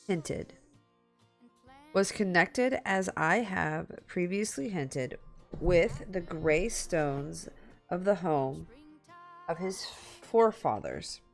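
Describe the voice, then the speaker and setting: A woman streamer reading a story aloud in a dramatic, halting way, slipping into casual asides. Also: lowish voice, vocal fry. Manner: halting, repeats and corrects stumbled words.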